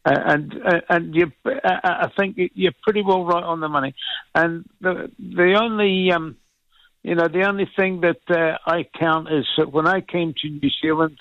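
A man in his seventies with a Scottish accent, calling in to a talk radio show, speaking earnestly and haltingly. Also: on the high side, steady tone, no room sound, muffled recording. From Scotland. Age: 70s. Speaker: caller.

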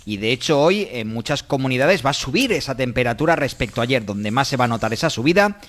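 A male weather presenter on morning radio who explains the forecast in a steady, informative way. His voice sits at a middle pitch. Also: quick pace.

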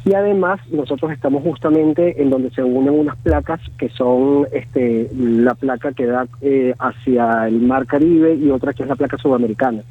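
A man in his thirties with a Venezuelan accent, a guest on the phone, talking haltingly with many fillers and restarts. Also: mid-range voice, breathy edge, no room sound. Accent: Venezuelan Spanish. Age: 30s. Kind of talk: phone interview. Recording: tinny phone line.

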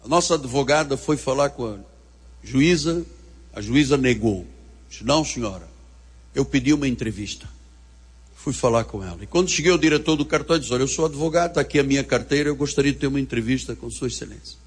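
A man's voice: medium pitch, quick pace, husky, long reverb tail. A man with a Portuguese accent, preaching a fervent sermon and telling a personal story. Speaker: pastor.

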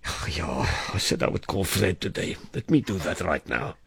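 A man acting in an audio drama as a weary, grieving former policeman, brooding and hesitant, with a husky, gravelly voice. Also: deep voice, sing-song, moderate pace, a bit bright, no room sound, heavily acted. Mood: musing. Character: grieving, weary male ex-policeman, confiding and troubled.

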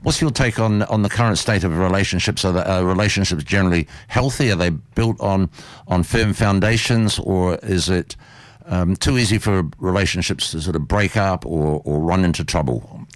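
A man's voice: low voice, moderate pace, no room sound. A man from New Zealand in his sixties, with a New Zealand accent, hosting a panel discussion and asking long, rambling questions full of fillers. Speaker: host.

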